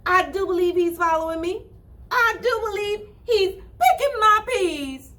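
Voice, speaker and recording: A woman's voice: extremely high pitch, lively intonation, a little slow, a bit bright, pitched above the performer's own voice, full character voice. A woman voicing a determined little girl in a storybook, firm, emphatic and scolding. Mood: indignant, determined.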